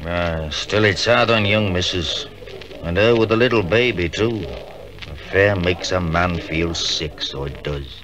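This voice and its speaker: An actor playing a glum young Yorkshire undergroom in a 1950s radio drama. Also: male voice, sits low, a little slow, a crowd in the background. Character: young undergroom from a Yorkshire village, sullen, weary. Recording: noisy old 1950s radio recording.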